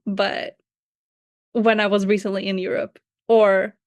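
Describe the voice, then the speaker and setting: A young woman speaks English as a second language with a light Slavic accent. She is a guest researcher talking in a casual, reflective way, often hedging with "like." Her voice is mid-pitched and dry, with no room sound. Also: flat delivery, measured pace, dry recording. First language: not English. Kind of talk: casual conversation.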